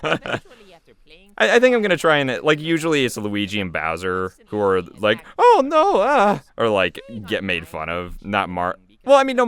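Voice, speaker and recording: A man giving informal, off-the-cuff commentary as the creator of an animated show. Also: low voice, clean tone. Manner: informal, chuckling, hesitant. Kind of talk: casual commentary.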